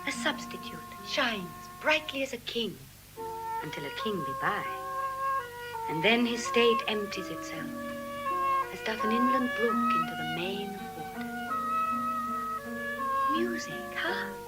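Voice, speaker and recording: Witty noble lady, classical verse drama, articulate, theatrical, animated intonation. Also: on the high side, a little slow, pauses often, loud music behind the voice, poor audio. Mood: musing. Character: Portia, witty gracious noble lady of the house. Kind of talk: classical Shakespearean stage acting.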